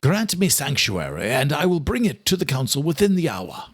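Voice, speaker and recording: An accused vampire fugitive, voiced by a male narrator pleads innocence at a raised pitch. The delivery is terse and formal, in audiobook style. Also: big pitch swings, moderate pace, a bit bright, breathy edge, full character voice. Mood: insistent.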